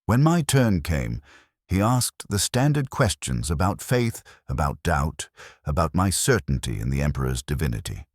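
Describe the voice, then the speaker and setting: A man's voice: very deep voice, sing-song, normal speed, dry recording, clean studio sound, full character voice. A man voicing a hollow, faithless warrior nun, telling her grim life as scripted first-person story narration in detached, solemn, clipped sentences. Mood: bleak, detached.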